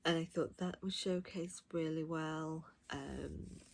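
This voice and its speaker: Low-voiced woman, gushing audiobook reviewer. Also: fairly even intonation, slow pace, vocal fry. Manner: gushing, emphatic. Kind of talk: casual, unscripted spoken review.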